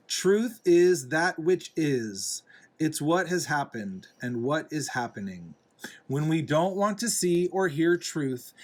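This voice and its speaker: A man, a father, reading a picture book aloud to a young child in a deliberate, gently explaining, instructive way. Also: fairly high pitch. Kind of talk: read-aloud storybook narration with asides to a young child.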